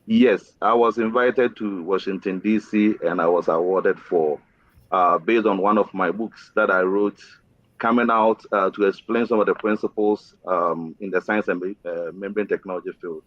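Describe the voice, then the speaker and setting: A man from Ghana introducing himself on a panel discussion, pausing often with fillers. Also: low-pitched, fairly even intonation, no room sound. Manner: hesitant, many fillers. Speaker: panelist, chemical engineer. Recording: dry, dull lo-fi sound.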